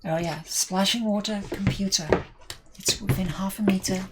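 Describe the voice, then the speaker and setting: A woman's voice: mid-range voice, fairly even intonation, moderate pace. A woman with a Welsh accent, chatting casually with viewers while building cosplay armour. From Wales. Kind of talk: casual build stream chat. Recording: noisy home workshop recording.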